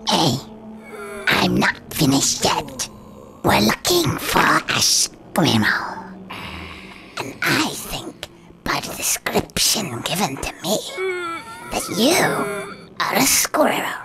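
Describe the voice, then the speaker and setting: An actor voicing a slow-witted, gruff guard creature in a cartoon video game, plodding and easily confused. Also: mid-range voice, huge pitch swings, in a whisper, exaggerated voice. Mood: officious.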